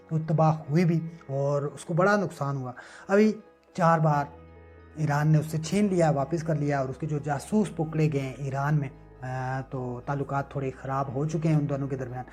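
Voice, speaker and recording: A man's voice: fairly high pitch, darkish tone. A man gives political news analysis. His delivery is emphatic and rhetorical, partly read and partly off the cuff. Music plays behind him. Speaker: online news commentator. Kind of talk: news analysis commentary, part scripted, part casual asides.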